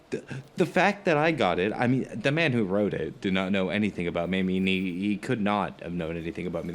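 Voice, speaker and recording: A man playing an earnest, wordy skeptic in an audio drama, arguing in a halting, stammering, emphatic way. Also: medium pitch, natural voice. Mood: exasperated, adamant. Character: earnest, skeptical, rationalist male dinner companion, wordy and flustered. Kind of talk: conversational audio drama acting.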